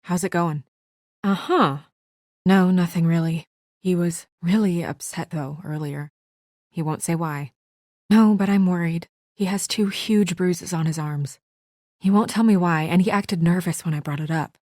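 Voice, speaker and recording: A woman's voice: lowish voice, a little slow, darkish tone, no room sound, studio quality, lightly acted. A woman playing an earnest, businesslike young woman with office authority and a dryly exasperated edge, in naturalistic video game acting. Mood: quiet, worried.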